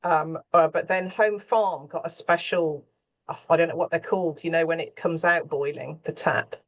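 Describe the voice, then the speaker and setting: A woman's voice: low voice, fairly even intonation, normal speed, highs cut off. Female panel guest, scriptwriter. Conversational and anecdotal, wanders off mid-thought in a chatty style.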